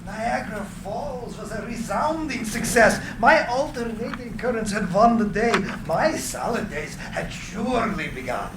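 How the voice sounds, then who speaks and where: An actor at a live script reading, playing an eccentric, boastful old inventor with a theatrical, blustering way of talking. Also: male voice, sits high, lively intonation, a little slow, hardly any pauses. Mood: nostalgic, boastful. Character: elderly Nikola Tesla, eccentric, boastful, excitable old inventor. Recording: noisy, roomy live reading.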